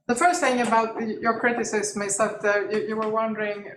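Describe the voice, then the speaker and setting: A woman's voice: on the high side, little pitch movement. Woman chairing a session in an echoey room. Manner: measured, hesitant with fillers. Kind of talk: moderating a conference discussion. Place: conference meeting room. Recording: echoey hall, distant mic, background noise.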